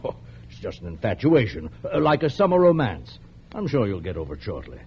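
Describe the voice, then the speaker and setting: Condescending rich husband, swooping delivery. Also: male voice, on the low side, huge pitch swings, a little fast. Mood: mocking, patronising. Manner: patronising, dismissive. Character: cold, condescending wealthy husband. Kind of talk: mid-century radio drama acting. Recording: dull, noisy old radio recording.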